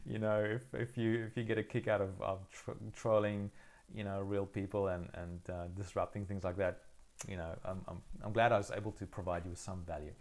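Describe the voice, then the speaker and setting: A middle-aged man from South Africa, whose first language is Afrikaans, hosts a live Q&A straight from his phone. His voice sits somewhat low, and he answers viewer questions in a relaxed, thoughtful way, full of ums and restarts. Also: brisk. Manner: thoughtful, hesitant, many fillers and restarts. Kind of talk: casual live Q&A.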